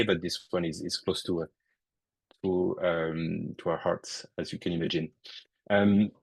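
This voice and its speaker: Man, French accent, very low voice, halting delivery with many fillers, technical webinar presenter. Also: breathy edge. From France. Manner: halting, with frequent "uh" and "um" fillers and restarts. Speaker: guest presenter.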